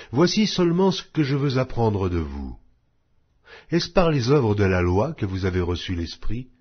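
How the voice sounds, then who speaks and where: A man reading scripture aloud in a measured, emphatic way, with strong rises and falls. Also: lowish voice, slightly rough, highs cut off. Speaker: Bible reader.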